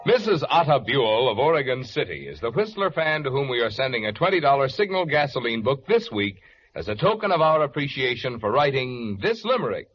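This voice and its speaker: A man's voice: mid-range voice, big pitch swings, brisk, soft background music. A man announcing for a sponsor in a 1940s radio advertisement, with jovial, polished salesmanship. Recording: dull, faintly noisy vintage radio recording.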